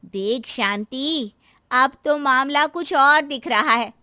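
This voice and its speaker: Woman playing a nosy, high-voiced neighbour woman. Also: slow pace, pushed and bright, dry recording, studio quality, exaggerated voice. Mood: inquisitive. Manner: prying, blunt.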